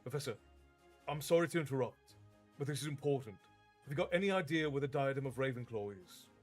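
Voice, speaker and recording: A man's voice: mid-range voice, lively intonation, quick pace, faint music behind, lightly acted. Male reader playing earnest teen hero, urgent, polite. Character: urgent teenage boy wizard, polite.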